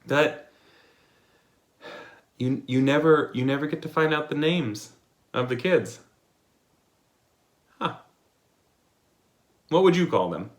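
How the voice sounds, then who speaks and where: Male storyteller, unhurried pace, very lively pitch movement, playful fairy-tale reading. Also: roomy sound. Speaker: storybook reader.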